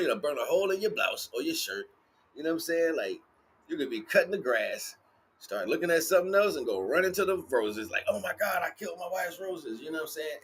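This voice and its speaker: A man's voice: fairly high pitch, slight background noise. A man giving a rambling motivational spiritual talk in casual, sweary street slang, emphatic and repeating his phrases. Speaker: livestream spiritual teacher.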